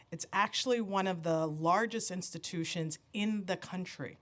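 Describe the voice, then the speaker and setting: Woman, slightly low voice, commissioner, formal testimony, measured official reading, desk mic. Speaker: city agency commissioner. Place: council hearing room.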